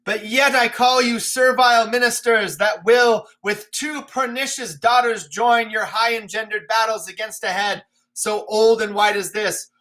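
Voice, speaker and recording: A man voicing a raging old king who shouts defiance at a storm in slow, drawn-out declamation. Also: high-pitched, steady tone, pitched above the performer's own voice, rough recording.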